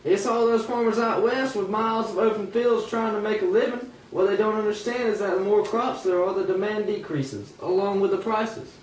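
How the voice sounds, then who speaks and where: A man's voice: high voice, moderate pace, hardly any pauses, natural voice. A man playing a disgruntled old-time American working man in an amateur puppet show. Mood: concerned. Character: disgruntled 19th-century American working man, sceptical of politics. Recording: echoey room, distant mic, faint noise.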